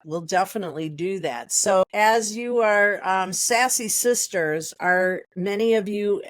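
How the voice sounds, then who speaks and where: A woman's voice: mid-range voice, a little slow, no echo. A woman in her sixties hosts an interview, with friendly, affirming remarks and short questions. Her voice sits mid-range, recorded dry and close. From Florida. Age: 60s. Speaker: podcast interviewer. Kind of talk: casual interview.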